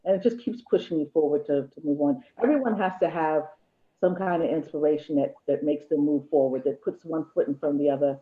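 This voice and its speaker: A woman's voice: low-pitched, brisk. A woman in her fifties, a guest and the host's mother, chatting candidly and jokingly with reflective stretches. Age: 50s. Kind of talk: casual chat. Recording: tinny phone-like line.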